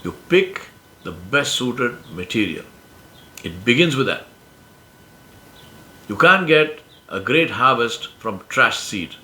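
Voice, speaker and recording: A man in his sixties from India with an Indian accent, Urdu his first language, giving a measured, emphatic reflective monologue. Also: mid-range voice, very animated, pushed and bright. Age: 60s. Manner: measured, emphatic, uses metaphors. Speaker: speaker sharing life lessons.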